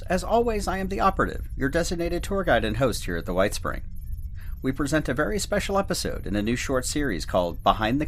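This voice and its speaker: A man playing a formal bunker tour guide and storyteller, narrating a scripted podcast drama in a measured, theatrical manner. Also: medium pitch, fairly bright tone, slight background noise. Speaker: host and narrator.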